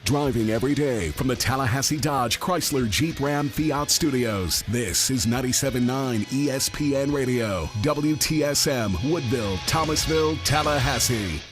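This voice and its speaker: Guy doing station ID and sponsor tags, mid voice, steady, faint music. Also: medium pitch, normal speed, hardly any pauses. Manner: smooth steady announcer read. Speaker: station announcer. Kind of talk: radio station imaging.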